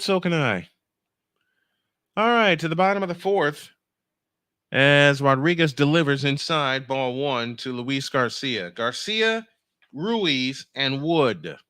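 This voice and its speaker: A man's voice: mid-range voice, fairly bright tone, clear, resonant tone, dry recording, studio quality. A man doing live baseball play-by-play on a stream, mixing in casual chat and rambling as he thinks aloud.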